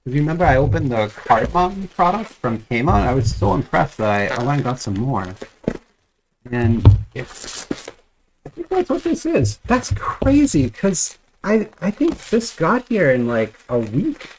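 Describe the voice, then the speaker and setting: A man's voice: on the high side, wildly swooping pitch, measured pace, slight background noise, low-quality recording. A man with a cold chatting casually while unboxing trading cards at home, rambling with many restarts and little chuckles. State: has a cold, voice a bit off. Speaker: trading card unboxing vlogger.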